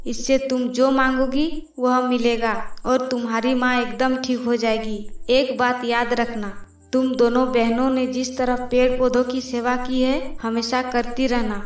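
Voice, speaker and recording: A woman voicing a gentle, motherly magical talking tree in a cartoon. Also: high voice, level tone, a little slow, reverberant, distant mic, faint music behind. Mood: reassuring.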